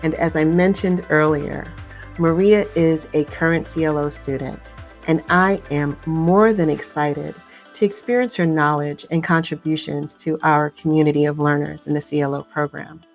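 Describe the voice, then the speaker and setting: A woman's voice: fairly even intonation, normal speed, creaky, soft background music. A woman hosting a podcast, reading an upbeat introduction and asking questions with bursts of enthusiasm. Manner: enthusiastic, with sudden upward pitch jumps. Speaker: podcast host. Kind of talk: scripted introduction and interview questions.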